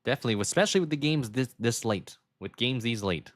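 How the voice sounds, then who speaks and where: A man's voice: medium pitch, expressive, quick pace, dry recording, clean studio sound. A man giving casual, conversational play-by-play commentary on a card game, often speculating aloud with questions. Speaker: match commentator.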